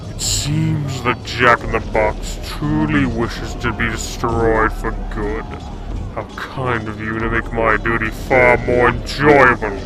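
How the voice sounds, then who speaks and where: A man's voice: medium pitch, close to an everyday voice. A man playing a cold, imperious frost king full of menace and contempt in a fantasy audio drama.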